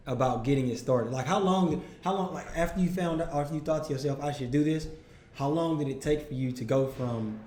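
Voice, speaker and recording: A man hosting a casual interview podcast, his voice hoarse, stumbling and repeating words as he starts his questions. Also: on the high side, brisk, a little mellow, echoey room. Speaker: podcast host.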